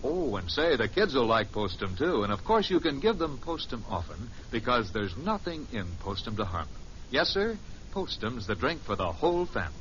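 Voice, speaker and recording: A man's voice: medium pitch, big pitch swings, moderate pace, fairly bright tone. An American man delivering a folksy, chatty and persuasive 1950s radio advertisement. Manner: chatty, persuasive direct address. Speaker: commercial announcer. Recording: muffled, noisy old radio recording.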